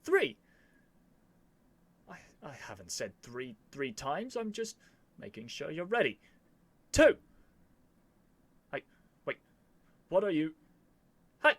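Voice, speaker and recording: Man acting a flustered, haughty young prince, swooping pitch. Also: big pitch swings, slow, pauses often, a bit bright, no echo, full character voice. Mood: defiant, indignant. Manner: theatrical, haughty wording with flustered stammers. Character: pleading, stubborn young human prince, flustered and lovestruck. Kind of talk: ASMR roleplay monologue.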